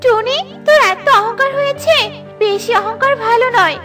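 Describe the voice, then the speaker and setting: A woman voicing a caring, earnest young female bird in a children's cartoon, squeaky and pleading. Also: moderate pace. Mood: earnest warning. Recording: loud music behind the voice.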